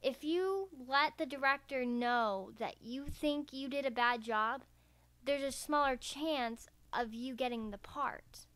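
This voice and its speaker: A woman's voice: high-pitched, takes it slowly, a bit bright, dry recording. A woman narrating a scripted how-to tutorial in a careful, step-by-step way. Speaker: voice acting tutorial presenter. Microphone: USB karaoke microphone.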